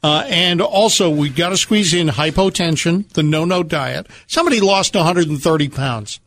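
A man with a slightly high voice works as a radio co-host. He talks conversationally and tells stories with a dry, teasing sense of humor. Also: moderate pace, fairly bright tone. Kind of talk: conversational radio talk with storytelling. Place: radio studio.

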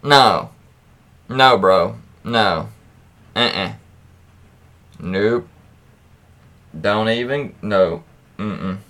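Slow-paced man, casual and profane storyteller. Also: fairly low pitch, pushed and bright. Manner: informal, profane, reacts with interjections. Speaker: podcast host. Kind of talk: casual read-aloud of anecdotes with offhand commentary.

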